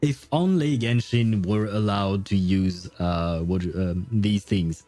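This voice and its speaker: A man livestreaming a game, chatting in a rambling, hesitant way with plenty of fillers and laughs. His voice is mellow and sits at an average pitch. It is recorded dry and close, with faint game music underneath. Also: slow pace, no room sound. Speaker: streamer. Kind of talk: livestream gameplay commentary, reading chat.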